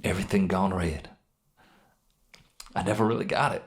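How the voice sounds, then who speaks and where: A man playing a wounded, guilt-ridden criminal in a narrated story, halting and pained, with a gravelly, emotion-strained voice. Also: low-pitched, fairly even intonation. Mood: rueful, dazed. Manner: halting, rueful, with sighs and bitter weak laughs. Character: wounded, guilt-ridden criminal mourning his dead brother. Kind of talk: dramatic monologue in a narrated story.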